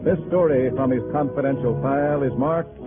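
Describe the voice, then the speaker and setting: A man's voice: mid-range voice, moderate pace. A man announcing a 1950s radio drama in an emphatic, portentous style. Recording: tinny old radio recording with music.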